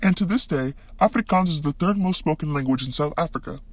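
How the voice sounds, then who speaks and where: A man's voice: on the high side, fast talker, no room sound, lightly acted. A man voicing a smug, scheming colonizer puppet in an amateur puppet show, speaking in a theatrical, emphatic way. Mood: proud, explanatory.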